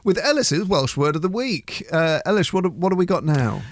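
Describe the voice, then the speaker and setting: A man's voice: high voice, sing-song, moderate pace, dry recording. A man co-hosting a comic radio show in a studio, teasing and quizzing his partner in a mock-grand presenter tone. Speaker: radio co-host.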